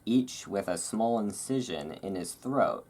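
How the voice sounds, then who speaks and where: A host reading aloud in a relaxed ASMR style, with informal, self-deprecating asides. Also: deep voice, a little slow. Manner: informal, self-deprecating over hard words. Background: thunderstorm ambience.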